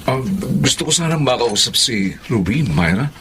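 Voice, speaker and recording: A Filipino actor playing an earnest, remorseful young lawyer in a Tagalog radio drama. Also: male voice, mid-range voice, sing-song, moderate pace, fairly bright tone, slight background noise. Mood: polite, hesitant.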